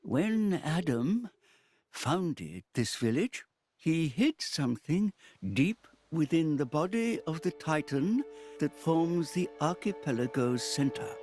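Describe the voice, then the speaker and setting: An actor playing a solemn, wise old village guardian in a video game cutscene, with a husky voice. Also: male voice, fairly high pitch, big pitch swings, takes it slowly, a little mellow, faint music behind. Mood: grave.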